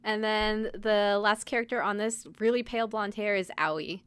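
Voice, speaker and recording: Girl cohosting a podcast, kinda giggly explainer, normal pitch, really dry audio. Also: fairly even intonation, normal speed, clean tone, no room sound. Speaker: podcast co-host. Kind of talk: casual chat about idol anime.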